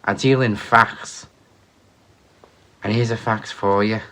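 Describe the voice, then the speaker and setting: A man's voice: lowish voice, fairly even intonation, slow pace. A man voicing a brash masked Liverpool luchador with a Scouse accent, cutting a boastful, crude wrestling promo. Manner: boastful, loud, crude trash talk.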